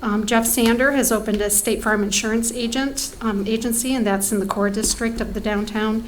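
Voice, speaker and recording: A woman's voice: fairly high pitch, fairly even intonation, normal speed, slight background noise. A woman giving a report at a township board meeting, talking conversationally with many ums and restarts, her voice fading from allergies.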